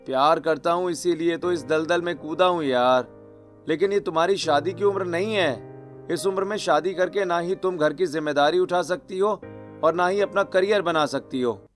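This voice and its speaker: A man voicing a responsible, gently reproving young husband in a cartoon story. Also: on the high side, moderate pace, faint music behind, natural voice. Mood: earnest, reproving. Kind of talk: Hindi cartoon story dialogue acting.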